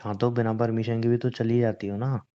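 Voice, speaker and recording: A man's voice: mid-range voice, little pitch movement, brisk, mellow, clear, resonant tone, lightly acted. A man playing a stubborn, teasing young boyfriend in a romantic phone-call roleplay, argumentative and coaxing in casual conversation. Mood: defensive. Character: stubborn, teasing young boyfriend defending his boys' trip. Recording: dull lo-fi phone call recording.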